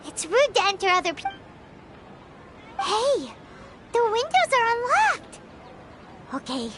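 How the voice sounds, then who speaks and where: A woman voicing a tiny, excitable, childlike fairy companion in a video game, chatty and bubbly with a squeaky voice. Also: takes it slowly, very noisy, full character voice. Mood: chatty, pondering. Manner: bubbly, refers to self in third person. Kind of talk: English video game voice acting. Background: game music.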